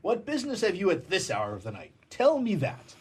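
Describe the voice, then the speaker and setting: A man's voice: high-pitched, huge pitch swings, fairly quick, darkish tone, slightly rough, voice pushed up, heavily acted. A man playing a scolding but kindly policeman in a live radio comedy.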